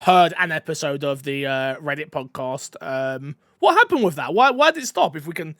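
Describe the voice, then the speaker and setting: Excitable high-voiced male podcast host. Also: normal speed, dry recording, clean studio sound. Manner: excitable, joking, laughs often. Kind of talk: casual podcast chat.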